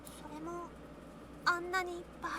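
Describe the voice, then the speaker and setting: A woman voicing a polite, earnest young girl, gentle but resolute, in a Japanese visual novel game, with music behind her. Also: very high-pitched, level tone, fast talker, noisy background. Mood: subdued.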